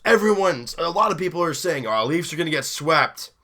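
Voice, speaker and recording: Hoarse young male fan host, punchy bright delivery, excitable recap. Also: on the high side, fairly quick. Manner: excited, rambling, self-interrupting. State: hoarse, deep rough voice after a late night. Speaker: hockey fan video host. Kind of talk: casual game recap.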